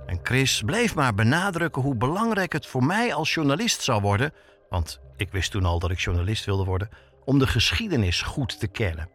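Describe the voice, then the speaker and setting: Animated Dutch man narrating a podcast. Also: lowish voice. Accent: Netherlands Dutch. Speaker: podcast host and reporter.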